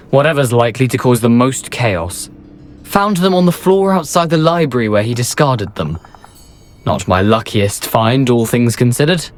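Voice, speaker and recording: Wry gentleman ghost, acted by a man. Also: mid-range voice, natural voice. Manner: wry, understated, eloquent. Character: wry, self-deprecating beheaded male ghost, rakish adventurer. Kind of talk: video game character dialogue.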